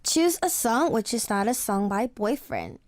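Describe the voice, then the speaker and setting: A woman's voice: fairly high pitch, big pitch swings, unhurried, no echo. A woman co-hosting a radio quiz with a sore throat, giving short replies and reading out the choices. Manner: brief agreeing replies, reads quiz choices. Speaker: radio co-host. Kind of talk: casual radio show chat.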